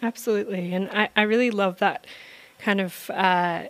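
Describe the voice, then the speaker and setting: A woman radio presenter, asking long, halting questions full of fillers. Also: mid-range voice, fairly even intonation, a bit bright, dry recording. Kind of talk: radio interview.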